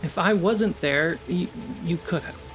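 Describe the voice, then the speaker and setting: Higher-voiced man running a tabletop game. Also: a little slow, a bit bright, soft background music. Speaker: game master. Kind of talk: casual improvised game narration and role-play.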